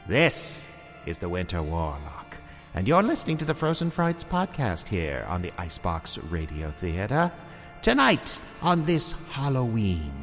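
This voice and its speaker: Deep spooky warlock radio host, wildly dramatic, big cavernous echo. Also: male voice, full character voice. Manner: theatrical, dramatically drawn-out, ominous. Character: Winter Warlock, spooky theatrical male horror host. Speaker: podcast horror host. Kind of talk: old-time radio horror hosting. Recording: long cavernous reverb with music.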